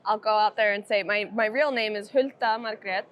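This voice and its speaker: A woman from Iceland, a podcast guest, telling her story in a relaxed, friendly way. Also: mid-range voice, brisk. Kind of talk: casual interview.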